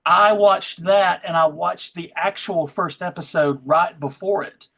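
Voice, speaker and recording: A middle-aged man hosting a casual panel chat in a halting, hesitant manner. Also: high voice, gravelly, a bit bright. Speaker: panel host.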